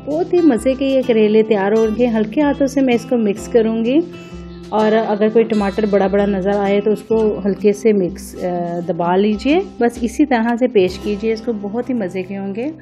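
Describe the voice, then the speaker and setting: A woman's voice: mid-range voice, moderate pace, over music. A woman hosting a home cooking vlog, casually narrating a recipe step by step and politely instructing viewers.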